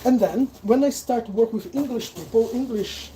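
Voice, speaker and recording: A man's voice: very high-pitched, normal speed, low-quality recording. A Brazilian man whose first language is Portuguese, speaking English with a Brazilian accent. He chats casually on a livestream, rambling and correcting himself as he goes. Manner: rambling, self-correcting, conversational. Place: at work, sorting bags. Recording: loud background noise.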